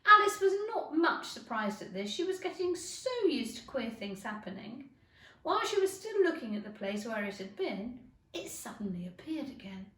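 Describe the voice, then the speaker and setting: A woman narrating a children's story in a measured, careful read-aloud style. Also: a little high, sing-song, sharp tone, recorded from a distance. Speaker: storybook reader. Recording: echoey room.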